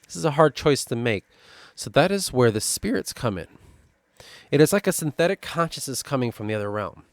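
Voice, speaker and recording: Midwestern man, middle voice, muses over his own lore notes with halting restarts; dry, close recording. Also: medium pitch, lively intonation, dry recording. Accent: Midwestern American. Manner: thinking aloud, halting, restarts, slows down for lore passages. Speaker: worldbuilding writer.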